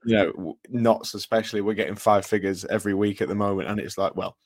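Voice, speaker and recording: A man's voice: fairly low pitch, fairly even intonation, quick pace, dry recording. A man hosting a casual football podcast, rambling with restarts and fillers. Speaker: podcast host.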